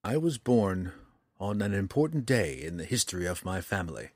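Man as a soft, grave dark elf, low and husky. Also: big pitch swings, normal speed, no echo, studio quality, full character voice. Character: haunted young male dark elf, guarded, solemn. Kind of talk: fantasy audiobook character.

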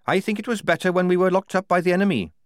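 Cynical young female private, voiced by a male reader, blunt, sardonic, high for him. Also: rapid-fire, no room sound, studio quality, lightly acted. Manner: scornful, sardonic.

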